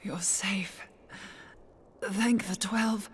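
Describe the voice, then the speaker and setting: An actress playing an earnest heroine in a scripted fantasy video game. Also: female voice, medium pitch, fairly even intonation, very slow, slight background noise. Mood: relieved.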